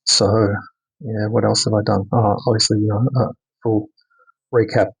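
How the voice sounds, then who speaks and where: A man giving a casual, unscripted hands-on technical demonstration, speaking haltingly and often repeating words for emphasis. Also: fairly low pitch, level tone, vocal fry, husky, rough recording. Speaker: electronics hobbyist presenting a radio mod.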